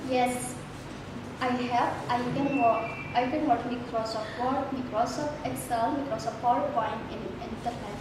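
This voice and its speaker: A woman's voice: on the high side, steady tone, measured pace. A twenty-year-old woman, playing a job applicant and giving careful, memorized answers in simple English. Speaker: job applicant in a student role-play. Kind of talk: rehearsed role-play interview. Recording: echoey room, far from the mic, noisy.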